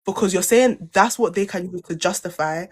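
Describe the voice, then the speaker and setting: A woman's voice: lowish voice, moderate pace, breathy edge. A young woman co-hosting a podcast, arguing insistently in a heated debate, repeating her points and talking over interruptions. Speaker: podcast co-host.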